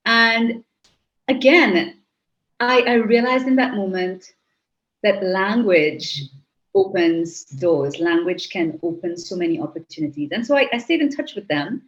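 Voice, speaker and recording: A middle-aged Trinidadian woman, a guest in an interview, speaking reflectively with frequent restarts. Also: mid-range voice. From Trinidad and Tobago. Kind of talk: interview conversation. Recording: slightly muffled audio.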